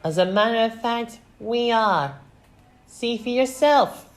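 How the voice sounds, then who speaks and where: A man puts on a confident young storybook woman with plenty of spirit. The voice sits high above a normal male range and speaks out firmly. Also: lively intonation, slow pace, heavily acted. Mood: upbeat.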